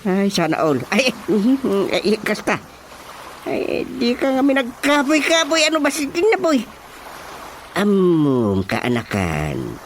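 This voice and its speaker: A man's voice: sits high, wildly swooping pitch, takes it slowly, slight background noise, exaggerated voice. A man with an Ilocano accent playing a teasing, exclamatory village chairman uncle in a radio comedy drama. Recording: music behind the voice.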